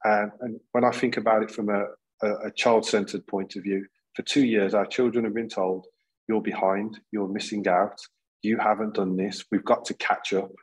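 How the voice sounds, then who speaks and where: Husky low-voiced man, earnest. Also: level tone, normal speed, poor audio. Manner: earnest, many restarts and repeated words. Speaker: panel guest, school leader. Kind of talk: video-call panel discussion. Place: plain indoor room. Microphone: video call.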